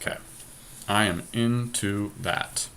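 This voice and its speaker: A man's voice: fairly low pitch, takes it slowly, a bit bright, clean tone. A man chatting casually as a podcast host, thinking aloud through an online card game with his co-host.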